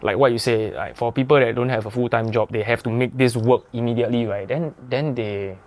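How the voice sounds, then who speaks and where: A man's voice: medium pitch, a little fast. A Singaporean man with a Singaporean English accent, hosting a casual podcast chat in a studio. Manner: relaxed, uses Singlish particles, swears casually. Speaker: podcast host.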